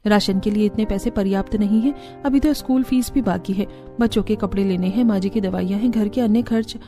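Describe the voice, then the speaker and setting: An earnest young village mother, played by a woman, resourceful and weary, for a cartoon story. The voice is mellow and middle-pitched, with music playing behind it. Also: fairly quick, close to an everyday voice. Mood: worried. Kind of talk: Hindi cartoon story dialogue.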